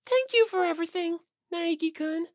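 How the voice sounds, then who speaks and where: A woman's voice: very high voice, lively intonation, normal speed, dry recording, studio quality, voice pushed up. A woman voicing a sweet, shy teenage idol girl for an audiobook, earnest and hesitant as she gushes. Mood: bashful gratitude.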